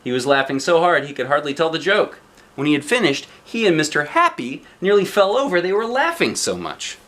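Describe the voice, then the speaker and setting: A man reading a children's book aloud like a dad, with expressive narration aimed at young listeners. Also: on the high side, fairly quick, slight background noise. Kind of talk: animated children's storybook narration.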